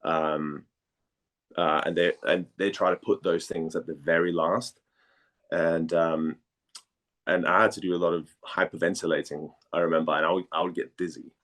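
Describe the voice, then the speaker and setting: Guy from denmark w a low voice, casual interview chat, kinda hesitant, repeats himself. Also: level tone, fairly bright tone. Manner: hesitant, halting, repeats words while thinking. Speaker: voice actor, interview guest.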